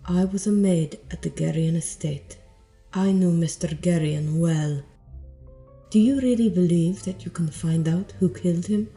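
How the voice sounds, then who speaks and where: A woman's voice: lowish voice, unhurried, warm tone, soft background music. A woman voicing a wary, cool ship's maid in an amateur radio play.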